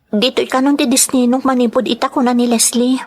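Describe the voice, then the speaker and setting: A woman's voice: fairly high pitch, flat delivery, fairly quick, natural voice. A woman playing a worried female kitchen worker who pleads anxiously with a friend. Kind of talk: audio drama acting.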